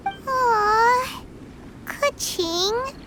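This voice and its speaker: A woman voicing a tiny childlike floating companion in an anime-style game, squeaky, excitable and naive, drawing out her words. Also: extremely slow, background noise, heavily acted. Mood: plaintive. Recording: music playing loudly behind.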